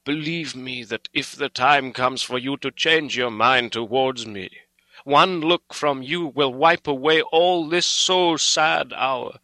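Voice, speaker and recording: A man performs an old foreign doctor from a storybook, solemn and earnest. The delivery is very slow and emphatic, breaking into halting phrases, with a piercing, forceful tone in a dry, close recording. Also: mid-range voice, dry recording, pitched above the performer's own voice. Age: older. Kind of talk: dramatic audiobook character reading.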